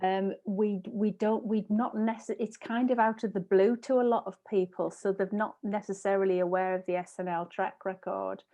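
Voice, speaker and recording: A woman's voice: medium pitch, steady tone, moderate pace, few pauses, warm tone. A woman giving tentative thoughts in a casual film discussion over a video call from home. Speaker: film review podcast panellist. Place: home room. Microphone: wired earphones on video call.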